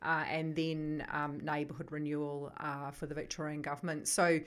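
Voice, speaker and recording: A woman's voice: low-pitched, flat delivery, moderate pace, smooth. A middle-aged woman with a New Zealand accent, a psychotherapist talking as a podcast guest, thoughtful and searching for her words. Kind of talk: conversational podcast interview.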